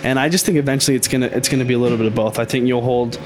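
A man speaking as a guest on a podcast, explaining his crypto consulting business in a confident, pitching way, often saying "I think". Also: steady tone, very fast, slight background noise. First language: Haitian Creole speaker. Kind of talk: casual podcast interview.

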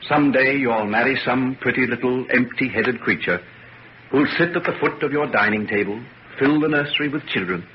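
An actor playing an earnest, brooding young gentleman in an old-time radio drama, with an intense, clipped delivery. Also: mid-range voice, normal speed, lightly acted. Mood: impassioned. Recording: muffled, noisy old radio recording.

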